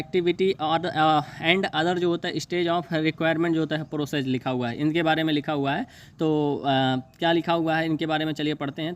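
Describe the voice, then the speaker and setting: Man, flat informal explainer. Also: a little high. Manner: halting, repetitive, stumbles over English terms he reads. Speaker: exam news video host. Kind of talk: informal explainer, reading and paraphrasing a notice.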